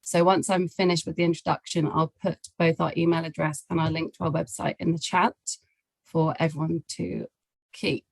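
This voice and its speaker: A woman with a slightly low voice hosts an online webinar over a video call. She gives a courteous, prepared introduction, and the sound is dry, with little room in it. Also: little pitch movement, moderate pace, gravelly, no echo. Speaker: webinar host. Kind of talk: scripted welcome and introduction.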